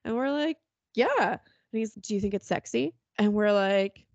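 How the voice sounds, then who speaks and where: A woman hosting a podcast, chatting in a relaxed way and laughing often. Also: medium pitch, moderate pace, dry recording, highs cut off. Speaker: podcast host. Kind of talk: casual podcast chat.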